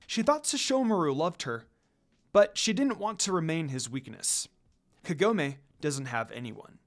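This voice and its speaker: Animated higher-voiced man reading a story. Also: unhurried. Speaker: fan fiction reader. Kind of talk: dramatic story narration.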